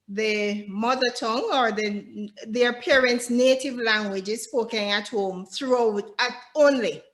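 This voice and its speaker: A woman with a somewhat high voice hosting an interview. She asks questions attentively and keeps the guest going with frequent short acknowledgements. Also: a bit bright.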